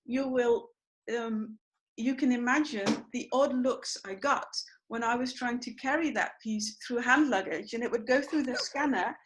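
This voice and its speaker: A woman's voice: on the high side, moderate pace, rough recording. A woman hosting an online symposium over a video call, chatty and full of small fillers. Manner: chatty, storytelling, many fillers. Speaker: symposium host.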